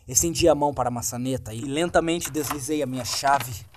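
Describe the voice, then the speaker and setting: A man's voice: mid-range voice, a little fast, background noise. A Brazilian man reads a horror story in a dramatic, suspenseful scripted narration style. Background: music.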